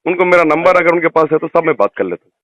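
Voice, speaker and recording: Quick, deferential male caller, slightly high voice. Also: expressive, fairly bright tone, dry recording, highs cut off. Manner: deferential, eager to comply. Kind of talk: phone conversation. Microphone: telephone call.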